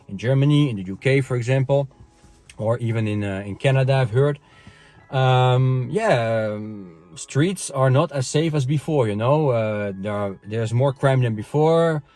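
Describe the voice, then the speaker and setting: A man from Europe talks through his views in a loose, conversational monologue, often tagging his sentences with "okay?" and pausing on "uh" and "um". His voice sits at an ordinary middle pitch. The sound is dry and close, with faint music under it. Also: darkish tone, dry recording. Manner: conversational, frequent "okay?" tag questions and fillers. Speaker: channel host. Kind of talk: casual opinion monologue to camera. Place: France.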